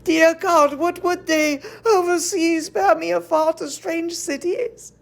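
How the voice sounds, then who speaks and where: A woman's voice: extremely high pitch, steady tone, slow, vocal fry, pitched above the performer's own voice, heavily acted. A woman playing frightened captive women in a verse tragedy, with a fervent, trembling, theatrical delivery full of desperate pleading. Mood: alarmed. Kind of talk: classical verse tragedy, declaimed.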